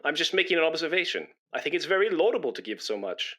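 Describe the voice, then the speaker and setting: An actor in a comedy radio play, playing a pompous, self-righteous, boastful busybody who sounds self-important and wheedling. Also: male voice, on the high side, sing-song, fast talker, bright, forceful tone, full character voice. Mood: indignant, needling.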